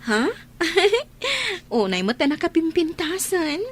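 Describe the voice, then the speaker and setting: High-pitched, coy young Filipino maiden. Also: female voice, big pitch swings, takes it slowly, slight background noise, exaggerated voice. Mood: coy, surprised. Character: coy, polite young single woman being courted. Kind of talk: Filipino radio drama acting.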